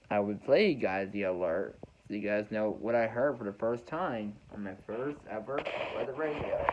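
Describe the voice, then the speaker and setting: A man's voice: on the low side, noisy background. A young American man telling a story casually to his viewers, unscripted, often repeating and rephrasing his words as he goes. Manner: halting, repeats and rephrases phrases. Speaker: weather radio hobbyist vlogger. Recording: dull, muffled lo-fi home recording.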